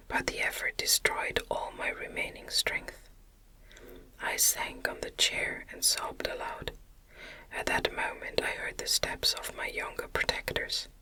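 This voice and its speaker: Female ASMR audiobook reader for gothic literature; fully unvoiced, breathy whisper, very bright and sibilant, gentle and slow in delivery. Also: poor audio. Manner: slow, gentle, deliberate phrasing.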